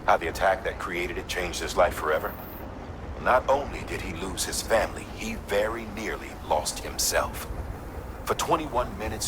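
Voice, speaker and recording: An actor playing a stern, measured mission director giving a briefing in a video game. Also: male voice, low-pitched, background noise. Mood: grave, somber. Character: grave, commanding male mission director briefing a courier.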